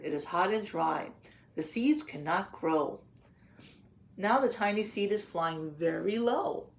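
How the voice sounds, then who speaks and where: A woman's voice: medium pitch, a little hiss or hum. A woman teacher reading a picture book aloud to young children, patiently explaining in simple words and putting questions to the listeners.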